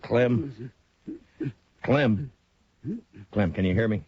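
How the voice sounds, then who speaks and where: An actor playing a calm, commanding frontier marshal in a 1950s radio drama. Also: male voice, on the low side, drawn-out words, husky, dry recording, lo-fi sound, full character voice. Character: stern, steady male frontier marshal.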